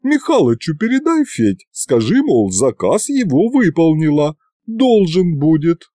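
A man's voice: fairly high pitch, sing-song, unhurried, dark tone, no room sound, studio quality, pitched above the performer's own voice. A man voicing a hearty, motherly middle-aged village woman who fusses over the boy. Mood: businesslike. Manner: homely, fussing.

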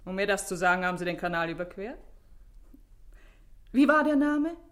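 An actress playing a haughty, indignant, sharp-tongued woman in a German radio crime drama. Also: medium pitch, lively intonation, normal speed, close to an everyday voice. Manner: imperious, cutting.

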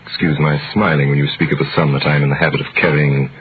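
An actor playing a wealthy, imperious count, suave and condescending, in the style of 1930s radio drama. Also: male voice, extremely low pitch, little pitch movement, rapid-fire, slight background noise. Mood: mocking. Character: wealthy, imperious male count, coolly mocking. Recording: muffled vintage radio recording.

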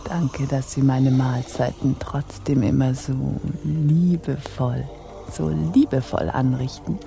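An actress playing a caged, deposed pirate captain in a radio play, speaking formally with languid, teasing irony. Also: female voice, rumbling low, steady tone, takes it slowly, gravelly, mellow, a little hiss or hum, heavily acted. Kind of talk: German radio play acting.

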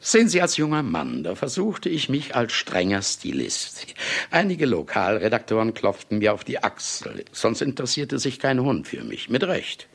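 An actor playing a boastful, cynical ageing famous writer who claims to be a murderer, declaiming in a radio play. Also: male voice, medium pitch, huge pitch swings, moderate pace, creaky, breathy edge, full character voice. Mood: boastful, commanding. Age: older. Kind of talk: theatrical German radio play monologue.

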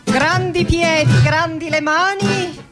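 Slow, high-voiced, passionate poet over music. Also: female voice, steady tone, full character voice. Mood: dreamy, declaiming. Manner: slow, dramatic delivery with long pauses. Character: passionate, defiant woman poet, dreamy and theatrical. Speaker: actress and singer. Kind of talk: theatrical poetic radio monologue with music, code-switching, partly sung.

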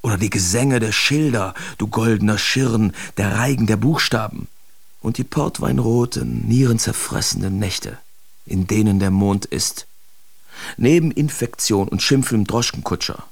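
A man's voice: lowish voice, normal speed, gravelly. An actor playing a brash, cynical big-city painter declaiming his feverish letters in a dramatic radio reading. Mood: exalted, feverish.

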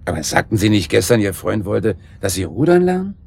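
A low, gravelly, inquisitive local man. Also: very animated, a little fast, darkish tone, heavily acted. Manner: chatty, politely probing. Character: Herr Brohmann, genial, inquisitive married boat renter. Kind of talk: naturalistic German radio drama dialogue. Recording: background noise and ambience.

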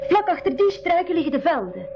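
A woman's voice: very high-pitched, very animated, a little fast, muffled recording, noisy background, heavily acted. A woman playing an earnest young refugee girl in a school radio drama. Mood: earnest, certain. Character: Ximing, earnest young refugee girl searching for her family. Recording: band-limited radio drama, music behind.

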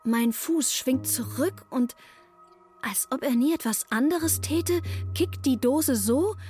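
A woman's voice: sits high, expressive, slow pace, darkish tone, soft background music. An actress playing an intense, troubled young woman who narrates her breakdown in a wry, matter-of-fact way in a radio play. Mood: wound up.

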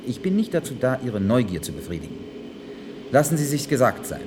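An actor in a German radio play, playing a cold, menacing government official with a cutting, formal manner. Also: male voice, mid-range voice, sing-song, fairly quick, music in the background, lightly acted. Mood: stern, threatening. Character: cold, menacing Mexican government official running a secret plant.

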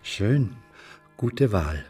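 Smooth formal undertaker guy, low mellow voice, bit off mic, businesslike, radio drama. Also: big pitch swings, very slow, far from the mic, soft background music, full character voice. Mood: obliging. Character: obliging, businesslike male funeral director.

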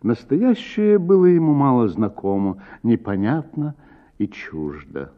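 A man reading a literary short story as a radio narrator, with measured, dramatic phrasing and drawn-out words. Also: mid-range voice, very animated, lo-fi sound.

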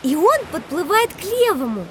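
A woman's voice: very high-pitched, big pitch swings, normal speed, a bit bright, a little hiss or hum, full character voice. A woman playing an enthusiastic, polite, wide-eyed child who is full of questions in a children's educational audio drama. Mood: delighted.

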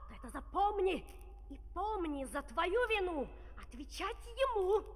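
A woman's voice: extremely high pitch, very animated, unhurried, full character voice. A woman playing a poor, tender, sorrowful little girl in a fairy-tale opera, sung and spoken theatrically. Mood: pitying, earnest. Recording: reverberant vintage vinyl opera recording.

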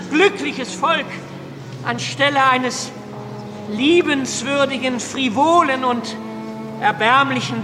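An actor playing a fervent, idealistic revolutionary orator in a radio drama, solemn and declamatory. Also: male voice, extremely high pitch. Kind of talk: political oration in a radio drama. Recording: long reverberant hall.

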